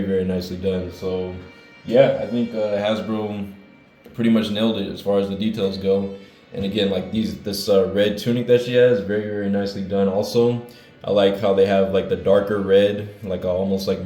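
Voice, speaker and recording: A man with a low, mellow voice that stays fairly level reviews a collectible figure in his room. He is a bit hoarse from a cold and rambles easily, filling gaps with ums and uhs. The room is roomy and echoey. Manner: relaxed, rambling, with many fillers. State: hoarse, getting over a cold. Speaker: toy reviewer. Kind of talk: casual toy review vlog. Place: his room with hardwood floor.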